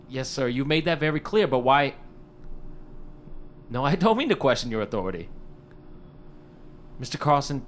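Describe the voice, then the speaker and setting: An animated, eager radio-drama striver. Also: male voice, on the high side, slight background noise. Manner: wisecracking, pleading, stammering when flustered. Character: eager, fast-talking struggling screenwriter working a hotel desk. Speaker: actor in an audio drama. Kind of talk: period radio drama acting.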